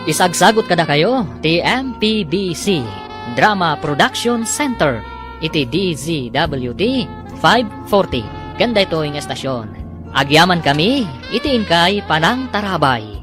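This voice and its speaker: A man's voice: fairly high pitch, big pitch swings, measured pace, over music. A man from the Philippines announcing a radio drama episode in a formal, scripted way.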